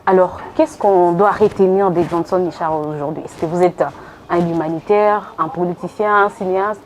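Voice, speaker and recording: A woman conducts a broadcast interview. Her voice is a little low for a woman, and she probes with insistent follow-ups. Faint background noise sits under the recording. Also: steady tone, moderate pace, a little mellow. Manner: probing, presses with follow-up questions. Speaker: television interviewer. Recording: background noise and room ambience.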